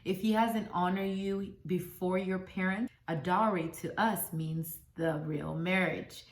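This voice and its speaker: A woman's voice: lowish voice, steady tone, unhurried. A woman born in Congo and raised in America, giving motivational life advice in an emphatic, preachy way, recorded on a phone. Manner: emphatic, preachy, stacks rhetorical questions. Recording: echoey room.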